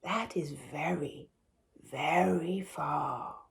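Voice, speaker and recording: A woman voicing a big, doting adult male hare in a storybook, tender, deliberate and reassuring. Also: sits low, gravelly, a little hiss or hum, exaggerated voice. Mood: hushed, loving. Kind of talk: storybook character voice.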